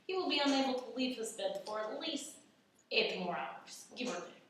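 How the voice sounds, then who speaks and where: An actress playing an odd young intern with a deadpan, stiffly formal manner in a radio play. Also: female voice, a little high, moderate pace. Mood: flat, eerie. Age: young adult. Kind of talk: scripted radio play acting. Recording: echoey room, distant mic, faint noise.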